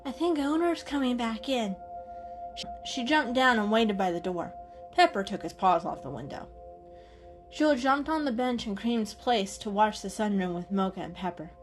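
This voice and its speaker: Woman narrating a story over faint music. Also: medium pitch. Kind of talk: scripted children's story narration, dramatic reading.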